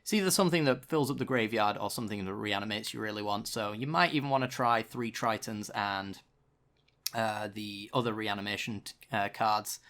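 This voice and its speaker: A man's voice: on the low side, brisk, a bit bright. A man giving casual, chatty card game commentary with dry sarcasm, still getting over a tickly sore throat. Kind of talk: gameplay commentary.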